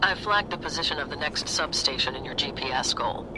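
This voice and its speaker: A male actor as a cool video game mission handler, giving orders in a fairly high, businesslike voice. Loud noise sits behind the authoritative delivery. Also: fairly quick, close to an everyday voice. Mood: commanding. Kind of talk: video game cutscene acting.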